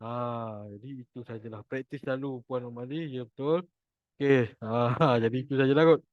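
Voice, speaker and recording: A man's voice: mid-range voice, fairly even intonation, moderate pace, dry recording. Malaysian man teaching, dull lo-fi audio. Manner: chatty, jokey, repeats points for emphasis. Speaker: Quran recitation teacher. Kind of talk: informal online class teaching.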